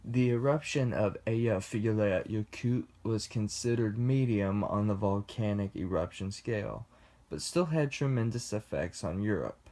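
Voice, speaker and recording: A young man giving a class presentation, reading slowly and deliberately with pauses. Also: lowish voice, mellow. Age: young adult. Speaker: student presenter. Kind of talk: class presentation read from script.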